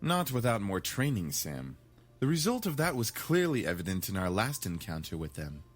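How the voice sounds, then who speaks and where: An actor playing a flirtatious, gentlemanly male incubus in a visual novel game, with a suave seducer's manner. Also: lowish voice, big pitch swings, normal speed, faint music behind. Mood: reproving.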